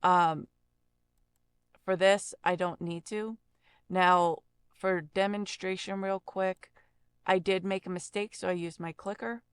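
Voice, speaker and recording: Smooth-voiced woman giving a slow tutorial. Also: on the low side, fairly even intonation, no echo. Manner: instructive, explains each step plainly. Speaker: tutorial instructor and voice actor. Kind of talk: casual step-by-step software tutorial narration.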